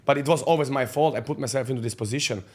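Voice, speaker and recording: A man's voice: mid-range voice, very fast. A man. He is a podcast guest telling his life story candidly in blunt, profane English with loose grammar. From Austria. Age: 30s. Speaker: guest, fight coach.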